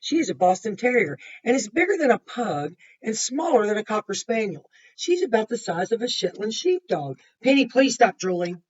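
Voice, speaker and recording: Woman reading as eager storybook schoolgirl, raised pitch, lo-fi. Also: very animated, moderate pace, hardly any pauses, breathy edge. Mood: eager, bossy. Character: eager schoolgirl measuring her dog for homework. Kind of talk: storybook read aloud.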